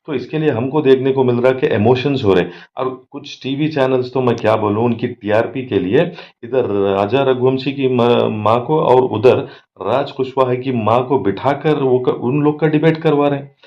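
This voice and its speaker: A man's voice: mid-range voice, normal speed, hardly any pauses. An Indian man giving crime commentary as an advocate and host, mixing in many English words. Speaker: advocate and social activist, channel host. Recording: dull lo-fi microphone.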